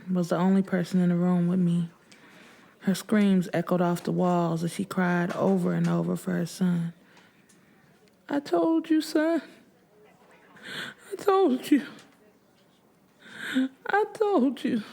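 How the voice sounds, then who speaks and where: A woman's voice: fairly low pitch, gravelly, mellow. A woman narrating first-person fiction as an audiobook, with emotive, sorrowful delivery.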